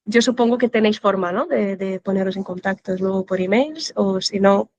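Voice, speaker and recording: A woman's voice: mid-range voice, a little fast, darkish tone, no room sound. A woman moderating a conference session over a video call, talking casually and often checking in with the audience. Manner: chatty, with frequent tag questions. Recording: dull lo-fi video call audio.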